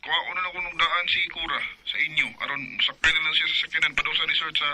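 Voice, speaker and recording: A man's voice: a little high, little pitch movement, fast, noisy background, lightly acted. A man acting a helpful younger brother in an audio drama, politely arranging plans.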